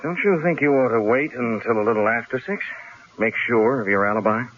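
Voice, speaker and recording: An actor playing a cool, shrewd newspaperman in a radio drama, speaking in a measured, dryly sardonic way. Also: medium pitch, natural voice. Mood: careful. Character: cool, shrewd newspaper columnist, stalling his would-be killer. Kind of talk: 1970s radio drama acting. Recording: dull, lo-fi old radio broadcast.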